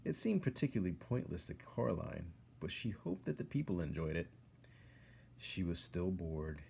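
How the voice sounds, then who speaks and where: A man reading a children's story aloud as a storyteller, expressively and with dramatic pauses. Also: deep voice, creaky, warm tone, slightly rough. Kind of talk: narrated story read-aloud.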